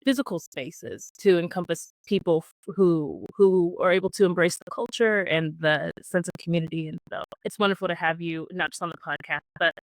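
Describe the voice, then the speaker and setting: A woman hosting a podcast interview, asking questions fluently and in a measured way. She is from the US mainland and of Puerto Rican heritage. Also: fairly low pitch, dry recording, poor audio. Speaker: podcast host.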